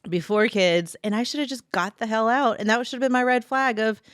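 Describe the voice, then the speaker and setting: A woman's voice: on the high side, brisk, a bit bright, dry recording, clean studio sound. A woman co-hosting a podcast, speaking in an earnest, confessional, emphatic way. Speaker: podcast co-host. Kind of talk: casual chat.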